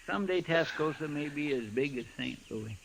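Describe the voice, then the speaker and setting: An actor playing a naive, good-natured lawman's sidekick with a rural American accent and creaky voice in a radio western. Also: on the high side, animated, normal speed, a bit bright. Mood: cheerful, chatty. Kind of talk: old-time radio western acting. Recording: noisy, hissy old radio recording.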